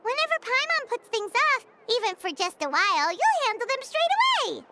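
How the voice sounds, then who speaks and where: Squeaky, childlike fairy companion. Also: female voice, very animated, normal speed, very noisy, heavily acted. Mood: excited, chatty. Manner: exclamatory, bubbly. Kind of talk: animated game dialogue.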